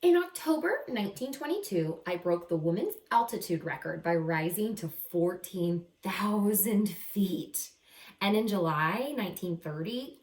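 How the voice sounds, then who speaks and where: A woman reading a picture book aloud to children as a teacher, expressive and encouraging, pausing to explain words simply. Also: mid-range voice. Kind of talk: animated children's read-aloud with simple asides. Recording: roomy echo, distant microphone.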